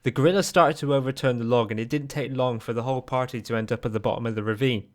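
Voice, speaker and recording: A man's voice: medium pitch, fairly even intonation, fast talker. A man narrating a scripted third-person story for an audio drama.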